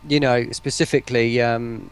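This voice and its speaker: A man's voice: medium pitch, little pitch movement, normal speed, clean tone. A man speaking as a guest in a live stream interview, explaining things in a careful, hedging way. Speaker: guest, voice pack maker.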